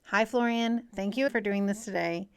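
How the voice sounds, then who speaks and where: A woman hosting a webinar, reading a prepared introduction in a measured way over a call. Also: medium pitch, smooth. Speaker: webinar host. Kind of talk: scripted introduction.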